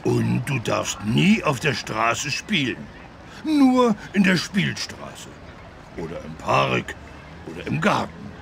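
Big friendly cartoon elephant for a kids game, really gravelly, bright and punchy. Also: male voice, mid-range voice, huge pitch swings, takes it slowly, slight background noise, full character voice. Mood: jolly, friendly. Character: big good-natured talking cartoon elephant, male, childlike. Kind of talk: children's educational game voice acting.

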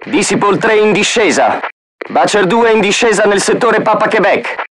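A man's voice: sits high, moderate pace, fairly bright tone. An actor playing a male mercenary gunship pilot making clipped radio callouts. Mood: focused. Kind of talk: video game voice acting.

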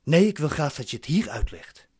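Radio play defendant: impatient, commanding, questioning, widely swinging intonation. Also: male voice, fairly high pitch, big pitch swings, brisk, darkish tone, breathy edge. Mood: suspicious, impatient. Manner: curt, questioning. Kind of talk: radio drama acting.

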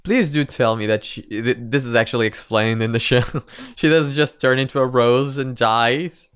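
A man's voice: mid-range voice, normal speed, a bit bright, smooth, no room sound. A man in his twenties hosting a reaction video with casual, amused commentary into a close studio microphone. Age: 20s. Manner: amused, breaks into laughter often. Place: small home room. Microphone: close studio mic on boom arm.

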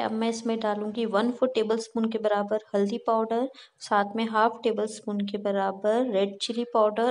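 Young woman walking you thru a home recipe, higher voice, pretty flat tone, friendly and chatty. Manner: friendly step-by-step explaining, mixing English words into Hindi. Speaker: cooking channel host. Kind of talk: recipe voice-over narration. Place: home kitchen.

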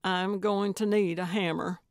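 A woman's voice: medium pitch, steady tone, measured pace, no room sound, clean studio sound, natural voice. A woman voicing a feisty, stubborn, suspicious older woman in an audiobook, with a blunt, emphatic delivery. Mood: determined. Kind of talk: audiobook character voice.

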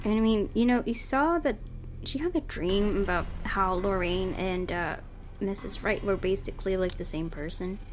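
A woman's voice: medium pitch, moderate pace, slight background noise. A woman let's player reading horror game narration aloud, with casual reactions of her own.

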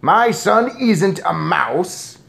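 A narrator voicing an irate, pompous English father who blusters, shouts and splutters in a children's storybook. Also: medium pitch, very animated, normal speed, creaky, voice pushed up. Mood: furious.

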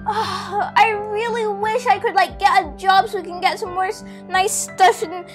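A woman voicing an excitable, wistful poor girl in a children's story, exclaiming and stammering. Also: extremely high pitch, a little slow, over music. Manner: breathless gasps, stammering, repeated exclamations. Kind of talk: amateur storybook character voice.

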